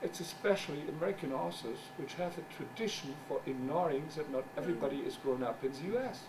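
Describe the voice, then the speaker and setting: A middle-aged man whose first language is German gives a conference talk as a journal editor. He speaks English haltingly, searches for words, and makes wry asides. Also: a little high. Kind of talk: conference presentation. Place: conference room. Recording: distant mic with background noise.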